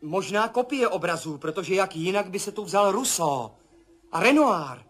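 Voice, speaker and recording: An actor playing a man adrift in a dreamlike vision in a 1970s radio play, with a husky voice and an urgent, awed way of talking. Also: high voice, lively intonation, fairly bright tone, soft background music. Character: astronaut Tom, adult man, anxious and searching. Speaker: narrating lead character. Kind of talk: 1970s radio drama acting.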